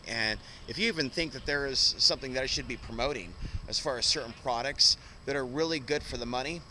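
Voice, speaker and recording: A man hosting his own independent show, talking in a rambling, unscripted way, halting and drifting into asides. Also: mid-range voice, pushed and bright, a little hiss or hum, rough recording. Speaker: host of an independent podcast and TV news show. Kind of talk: rambling unscripted monologue to listeners.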